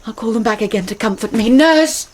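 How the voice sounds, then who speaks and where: A woman's voice: a little high, animated, fairly quick, breathy edge. A British actress playing a frightened young noblewoman in a Shakespearean radio drama, in a dramatic soliloquy that slips into whispers. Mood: dread. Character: frightened, lovelorn young noblewoman steeling herself.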